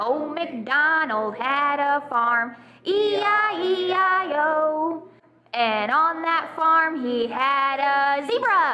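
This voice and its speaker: A woman doing a playful children's singalong, imitating animal noises. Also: high voice. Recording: music behind the voice. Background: music.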